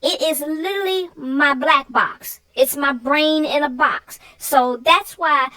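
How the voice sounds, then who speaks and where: A woman with a high voice chats as a co-host. She talks emphatically and expressively and laughs easily. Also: slow pace, pushed and bright. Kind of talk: casual chat.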